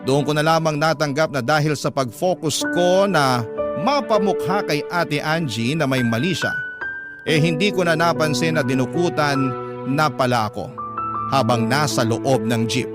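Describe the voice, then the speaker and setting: A man's voice: a little high, normal speed. A man with a Filipino accent narrating a scripted first-person story for a radio drama. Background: prominent background music.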